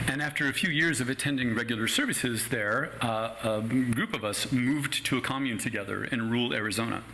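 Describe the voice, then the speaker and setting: Middle-aged American man, native Spanish speaker, guest church speaker. Bright, crisp-edged delivery, measured storytelling with dry asides, faint background noise. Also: mid-range voice, poor audio. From Los Angeles County, United States. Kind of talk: prepared personal talk to a congregation.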